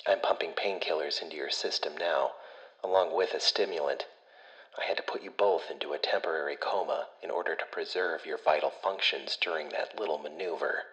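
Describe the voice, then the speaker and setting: Low-voiced ship AI played by a male narrator, caring and anxious, hushed urgency, wry familiarity, sci-fi audiobook. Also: brisk, lo-fi sound. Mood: matter-of-fact.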